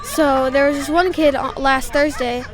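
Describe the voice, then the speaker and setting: A woman's voice: high voice, steady tone, normal speed, slight background noise. A teenage girl from St. Louis who is a junior coach, explaining earnestly at an outdoor soccer practice.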